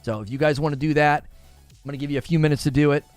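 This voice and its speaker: A man's voice: on the high side, fairly even intonation, fast, darkish tone, clear, resonant tone, no room sound, faint music behind. A man hosting a gaming livestream, talking casually and informally to his viewers and reading their comments aloud. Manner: informal, slangy, addresses viewers directly. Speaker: gaming podcast host and livestreamer.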